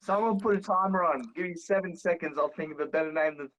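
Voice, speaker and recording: A man's voice: fairly high pitch, fast, a bit bright, poor audio. A young man co-hosting a podcast in casual banter, teasing and swearing with emphatic repetition. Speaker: podcast co-host. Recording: clear background noise with clicks.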